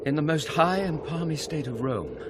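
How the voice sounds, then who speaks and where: Declamatory British Shakespearean actor as a scholarly gentleman. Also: a little high, lively intonation, very noisy, close to an everyday voice. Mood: grave, ominous. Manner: declamatory, measured verse delivery. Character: grave scholarly gentleman confronting a ghost on night watch. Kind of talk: classical Shakespearean radio drama acting.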